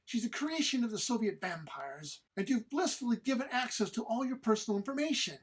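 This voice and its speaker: A woman performs a disdainful, scolding companion in an audio comedy. The husky voice is arch and formal, full of dry sarcasm and exasperation. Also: a little high, lively intonation, a little fast. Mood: exasperated. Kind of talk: informal script read-through of audio comedy.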